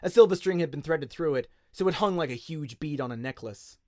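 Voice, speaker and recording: Expressive Australian man narrating, lively pitch. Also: a little fast, dry recording, clean studio sound. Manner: expressive storytelling. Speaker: audiobook narrator. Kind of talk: dramatic scripted narration, radio-play audiobook.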